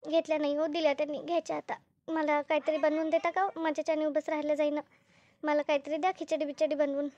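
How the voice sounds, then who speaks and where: A woman's voice: high-pitched, little pitch movement, fast talker, a little mellow, highs cut off, close to an everyday voice. A woman voicing an injured village mother, weary and bossy, in an amateur cartoon comedy dub. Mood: plaintive. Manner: plaintive, weary, ordering others about.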